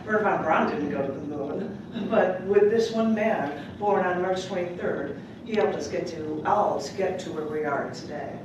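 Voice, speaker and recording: A woman poet reading her work aloud at a live open mic, with deliberate, dramatic phrasing and casual asides. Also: fairly low pitch. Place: public library room at a live event. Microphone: distant microphone. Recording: echoey room from far off, clearly noisy.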